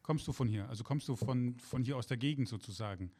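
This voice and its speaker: A man's voice: mid-range voice, fast, a little mellow. A man hosting a casual conversation, asking questions and rephrasing them as he goes. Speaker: host.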